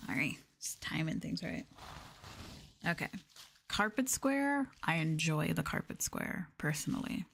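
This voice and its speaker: A woman speaking softly and intimately in a casual ASMR chat, at times dropping to a whisper. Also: deep voice, animated, a little slow, gravelly, a little hiss or hum. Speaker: streamer, VTuber.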